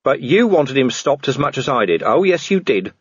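A man's voice: medium pitch, sing-song, moderate pace, breathy edge, dry recording, muffled recording, voice pushed up. A man voicing a grim, weary male city watch commander who talks in a bitter growl.

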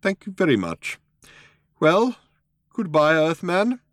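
A courteous old gentleman, proud and easily wounded , voiced by a male narrator. He speaks in a raised pitch with animated swings, in a storybook manner. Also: moderate pace, dry recording, clean studio sound. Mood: coldly hurt. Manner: polite, hesitant, indignant when crossed. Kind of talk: audiobook character voice.

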